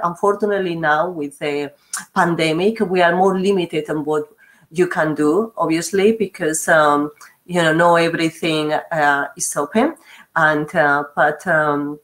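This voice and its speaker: A woman with a Spanish accent hosts a webinar over a video call, her voice a little low. She talks in a polite, hesitant way, stopping often on "um" and "uh". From Spain. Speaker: webinar host, university representative. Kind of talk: hosting a webinar.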